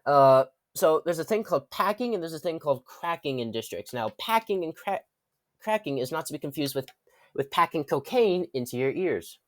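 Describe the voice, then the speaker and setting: A man's voice: fairly high pitch, expressive, normal speed, no echo. A man with a congested, stuffy voice giving casual political commentary, rambling, correcting himself and dropping wry asides. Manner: rambling, with frequent restarts and self-corrections. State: congested from a cold.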